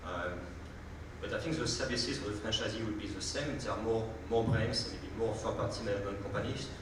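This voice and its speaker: A man with a French accent, speaking as a panellist on a conference stage and explaining in a quick, fluent way. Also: lowish voice, fairly even intonation. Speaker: panellist, hotel development executive. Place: large conference hall. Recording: echoey hall, distant mic, background noise.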